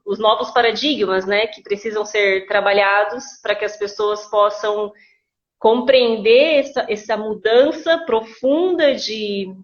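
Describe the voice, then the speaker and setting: Woman, brazilian portuguese accent, live stream host, muffled lo-fi audio. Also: medium pitch. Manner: warm, rambling, many restarts. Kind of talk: live online interview. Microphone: video call. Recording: dull lo-fi sound.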